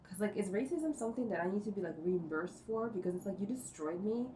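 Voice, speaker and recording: A woman vlogging from home, thinking out loud in a rambling way, full of fillers and cursing. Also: mid-range voice, animated, fast. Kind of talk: rambling think-aloud monologue. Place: indoors at home. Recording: distant mic with faint noise.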